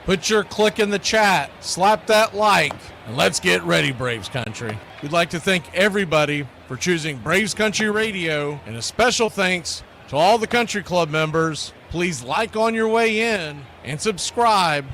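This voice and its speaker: A man's voice: high-pitched, bright, forceful tone, slight background noise. A man hosting a baseball radio broadcast, giving a hearty, folksy scripted welcome with showman flair. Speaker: baseball play-by-play radio host. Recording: music under the voice. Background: music.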